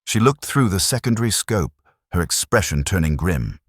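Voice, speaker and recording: A man's voice: deep voice, big pitch swings, moderate pace, breathy edge, no echo, clean studio sound. A man narrating a science fiction audiobook with dramatic storytelling delivery. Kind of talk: scripted sci-fi narration.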